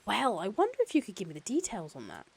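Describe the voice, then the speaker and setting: A woman's voice: medium pitch, huge pitch swings, fast, creaky, slightly rough, exaggerated voice. A woman voicing an eager, effusive male corporate lawyer on the phone as she reads a novel aloud. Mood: eager, businesslike. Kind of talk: novel read-aloud dialogue.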